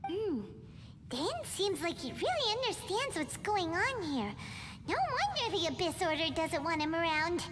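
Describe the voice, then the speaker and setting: A woman voicing a small, excitable, childlike fairy companion in a video game, chatty, curious and easily startled. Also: very high-pitched, measured pace, noisy background, heavily acted. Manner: exclamatory, refers to self in third person.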